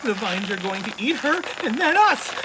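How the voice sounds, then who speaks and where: A woman's voice: on the high side, very animated, normal speed, heavy background noise. A woman playing a fretful, melodramatic male space scout who predicts doom in a sci-fi comedy radio drama. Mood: doom-laden panic.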